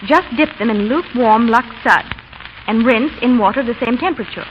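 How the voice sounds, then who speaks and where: A woman's voice: a little high, fairly quick. A woman correspondent giving scripted, chatty tips on a 1930s radio show. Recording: dull 1930s radio, faint noise.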